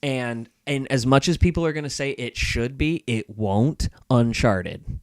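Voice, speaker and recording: A man's voice: mid-range voice, a little mellow, no room sound, studio quality. A man speaking as a podcast guest in casual, opinionated discussion, emphatic and repeating points for stress.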